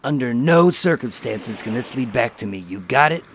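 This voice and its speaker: A man playing a weary, calculating undercover agent, terse and measured, in a noir crime radio drama. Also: mid-range voice, lively intonation, a little hiss or hum, natural voice. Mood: stern, commanding. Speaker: lead actor and narrator. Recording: music under the voice.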